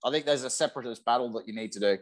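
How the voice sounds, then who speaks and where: A man's voice: mid-range voice, rapid-fire. A man in casual gaming podcast conversation, talking in an enthusiastic, opinionated way as he offers his theories.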